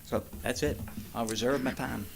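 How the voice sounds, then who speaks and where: A man speaking for a community association at a city meeting into a podium microphone, measured and formal. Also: mid-range voice, creaky, noisy background. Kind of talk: public comment at city meeting. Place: city council chamber.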